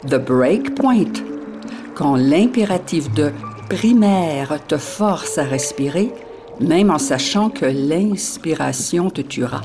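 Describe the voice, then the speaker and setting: A narrator reading a poetic script in a slow, measured, grave way. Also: medium pitch, lively intonation. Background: music.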